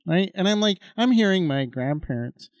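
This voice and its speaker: A man's voice: high-pitched, lively intonation, moderate pace, no room sound. A man in his fifties co-hosting a casual podcast chat, talking in a loose, rambling way with lots of fillers and asides. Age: 50s. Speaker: podcast co-host.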